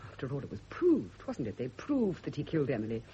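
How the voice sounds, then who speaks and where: A woman's voice: a bass voice, wildly swooping pitch, fast talker, mellow, exaggerated voice. An actress playing an embittered, sleepless, guilt-ridden woman in a classic radio drama, speaking with tense emotional intensity. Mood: indignant, outraged. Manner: intense, emotional, self-justifying. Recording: muffled, noisy old radio recording.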